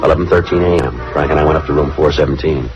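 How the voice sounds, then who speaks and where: An actor playing a terse, skeptical police detective in a 1950s radio drama, deadpan and clipped. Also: male voice, a bass voice, rapid-fire. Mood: matter-of-fact. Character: dry, skeptical male police detective, narrating and interrogating. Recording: dull old radio recording with music.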